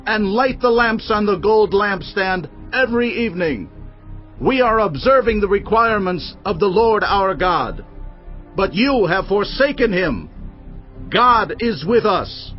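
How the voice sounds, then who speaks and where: A man's voice: sits high, highs cut off. An actor playing a righteous king addressing an enemy army, declaiming solemnly in a dramatized audio Bible.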